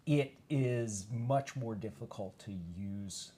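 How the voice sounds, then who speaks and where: A man's voice: mid-range voice, slow, mellow, slight background noise. A man answering as an expert panel guest, explaining carefully and rephrasing as he goes.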